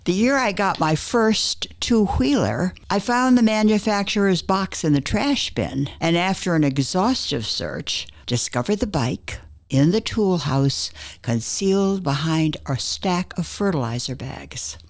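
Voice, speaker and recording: A woman's voice: lowish voice, animated, unhurried. An older woman reading her own personal essay aloud as a scripted story.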